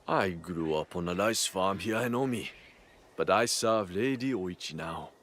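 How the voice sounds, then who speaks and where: Game retainer, large strong man: low, lively intonation, humble, deliberate. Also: full character voice. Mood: reminiscing. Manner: deliberate, short weighty phrases. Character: big, strong, humble retainer from rice farm, friendly and loyal. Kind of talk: video game cutscene acting.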